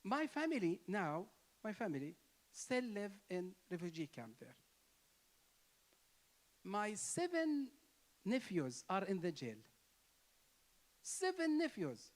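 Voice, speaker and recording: A man's voice: high voice, huge pitch swings, slow pace, pauses often. A man with a Palestinian Arabic accent speaks to an interfaith gathering in emphatic, impassioned English. He repeats phrases for force and lifts his voice to stress key words, his Arabic native tongue plain in the sound of his English. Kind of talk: impassioned speech.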